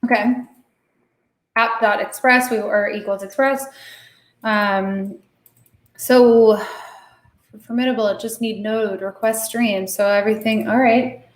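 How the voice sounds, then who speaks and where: A woman hosting a live coding stream, slightly hoarse, talking herself through the code with lots of fillers. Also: medium pitch, fairly even intonation, measured pace, long pauses. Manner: thinking aloud while coding, many fillers. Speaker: host. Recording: echoey room.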